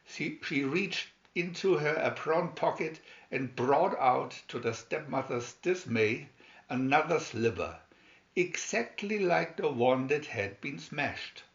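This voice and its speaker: Male narrator for children's fairy tale: unhurried read-aloud, slightly high voice, echoey home recording. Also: animated, creaky, lo-fi sound. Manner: deliberate read-aloud delivery. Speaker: storybook narrator.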